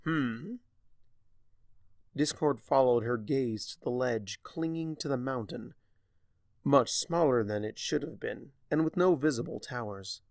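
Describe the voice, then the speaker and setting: A man narrating a story from a script, with deliberate, theatrical phrasing. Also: mid-range voice, gravelly, a little mellow, no echo, studio quality.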